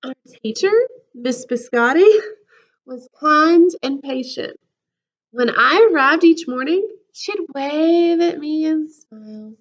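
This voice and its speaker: A woman school counselor reading a picture book to children in an expressive, casual storytime style. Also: high voice, takes it slowly, muffled recording. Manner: animated, acts out the character lines. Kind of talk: expressive children's read-aloud with casual asides.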